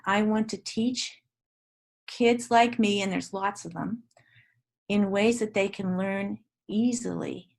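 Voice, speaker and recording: A woman's voice: medium pitch, creaky. An older woman, a Dutch native speaker from Canada, talks about her teaching work in an interview in an earnest, reflective way, often restarting her sentences. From Ontario, Canada. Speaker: guest educator.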